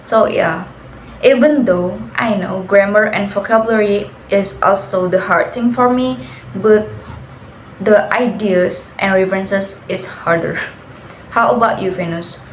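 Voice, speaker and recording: A young Indonesian woman talks in English in a casual student interview, pausing to search for words and laughing softly now and then. Her voice sits at a middle pitch. The room rings with echo, and a faint hiss lies underneath. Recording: echoey room, faint background noise.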